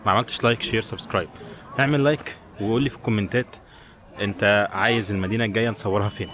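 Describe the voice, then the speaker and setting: A man's voice: lowish voice, rapid-fire, fairly bright tone. A man with an Egyptian Arabic accent chatting informally to viewers as a travel vlogger, in a casual walking style. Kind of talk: casual walking vlog chat, talking to viewers. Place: mostly outdoors, walking through a tourist village. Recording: outdoor tourist spot with crowd noise. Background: people's voices.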